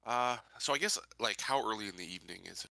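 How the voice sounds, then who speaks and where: A man's voice: fairly low pitch, animated, fast, creaky, bright, forceful tone, no echo. A man playing a tabletop roleplaying game, talking casually and tentatively as he thinks his choices aloud.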